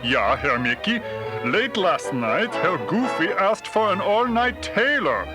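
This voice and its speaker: An obliging storybook hotel manager, speaks with an Austrian accent. The voice is fairly high and lively, with music clearly behind it. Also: male voice, takes it slowly, exaggerated voice. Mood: helpful. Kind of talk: children's read-along storybook acting.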